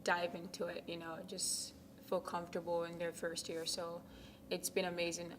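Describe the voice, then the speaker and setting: Young woman from Ghana, college athlete, low even voice, soft-spoken with lots of ums, noisy background. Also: normal speed. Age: young adult. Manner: soft-spoken, many ums, drops to near whispers. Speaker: college tennis captain. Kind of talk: casual interview answers.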